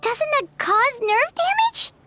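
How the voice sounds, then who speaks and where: A woman voicing a tiny, excitable, childlike companion in an anime video game, squeaky and exclamatory. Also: slow, loud music behind the voice, exaggerated voice.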